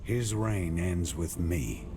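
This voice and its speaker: Stern game warrior hero, really low voice, terse and grave, music under it. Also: male voice, lively intonation, slow, heavily acted. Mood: grave, resolute. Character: stoic, resolute warrior hero set against his brother. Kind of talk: dramatic video game acting.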